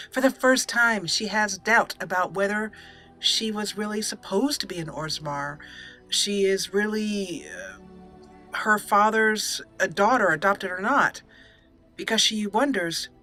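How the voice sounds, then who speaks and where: Female let's play host, mid register, very bright and forceful, deliberate dramatic roleplay delivery, faint game music underneath. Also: mid-range voice, animated. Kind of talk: in-character roleplay commentary on a video game.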